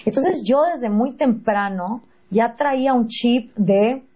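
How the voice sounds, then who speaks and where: A woman with a Guatemalan accent in conversation as an interview guest, telling personal stories in an animated, emphatic way. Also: on the high side, takes it slowly, slightly rough, muffled recording. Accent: Guatemalan Spanish.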